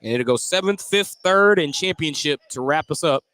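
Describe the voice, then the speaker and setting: A man's voice: fairly high pitch, lively intonation, moderate pace, bright, forceful tone. A man doing live play-by-play basketball commentary for radio, conversational and given to joking asides between calls. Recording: clear background noise, live gym broadcast.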